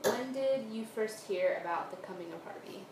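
A woman's voice: medium pitch, normal speed. A woman asking prepared interview questions inside someone's home. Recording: roomy echo, clear background noise.

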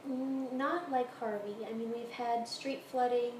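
A woman's voice: on the high side, fairly even intonation, a little slow, a little mellow. A woman with an American accent casually answering interview questions about her own experiences in a room at home. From Houston area, Texas, United States. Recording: roomy echo, clear background noise.

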